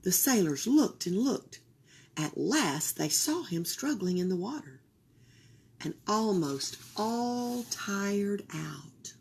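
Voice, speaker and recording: A woman reading a children's picture book aloud for a library storytime, expressive and sometimes dropping into a whisper. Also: mid-range voice, slow pace, gravelly, slightly rough. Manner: expressive, drops into whispers for suspense.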